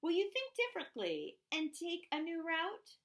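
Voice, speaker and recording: High-pitched woman reading aloud, very animated. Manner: expressive, deliberate storybook delivery with emphasised phrases. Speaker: school principal reading aloud to children. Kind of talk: children's picture book read-aloud in rhyming verse.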